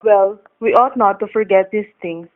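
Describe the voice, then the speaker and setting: A woman's voice: mid-range voice, moderate pace, natural voice. A woman playing a cheerful young male motorcycle cop in a radio drama, folksy, joking and mock-formal. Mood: friendly, teasing. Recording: tinny, phone-like radio-play recording.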